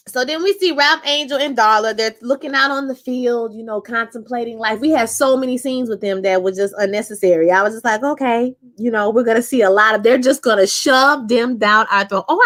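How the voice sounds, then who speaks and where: A woman's voice: on the high side, moderate pace. Chatty New Orleans woman reviewing a show. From Louisiana. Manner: chatty, animated, talks to chat as "y'all". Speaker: show reviewer live-streaming. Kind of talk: casual live-chat review.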